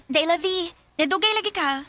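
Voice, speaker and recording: A woman's voice: very high voice, moderate pace, sharp tone. A woman playing a chatty, curious teenage friend in a radio drama, who asks questions in a teasing way.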